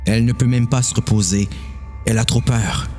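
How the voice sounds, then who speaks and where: A man's voice: mid-range voice, steady tone, a little fast, darkish tone, soft background music. A man reading a dramatic story as narrator.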